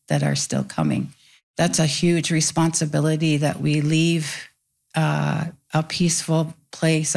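A woman with a very low voice that has a strong gravelly fry. She talks as a panel guest, slowly and reflectively, with pauses. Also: darkish tone. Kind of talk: panel discussion.

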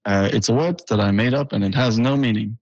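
A man's voice: on the low side, fairly quick, darkish tone, no echo. A man from Denmark, a band member, answering in an easygoing, wry way. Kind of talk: casual interview answers. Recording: slightly dull sound.

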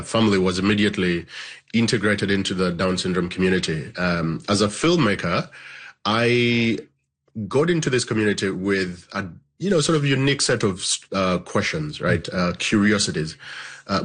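Ugandan-born filmmaker guest, very deep husky voice, halting and thoughtful, remote from home. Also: male voice, a bit bright. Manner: thoughtful, halting, many fillers. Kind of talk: interview answers. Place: at home, child in background.

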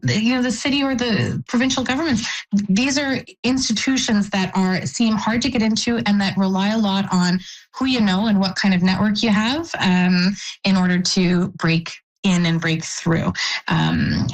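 A woman who organizes youth employment work, answering radio interview questions in a halting, measured way and often restarting her phrases. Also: medium pitch, rough recording.

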